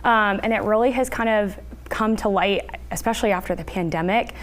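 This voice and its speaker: A woman's voice: mid-range voice, a little fast. A woman speaking as a therapist guest, giving explanatory answers in a news interview. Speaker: therapist guest, licensed counselor.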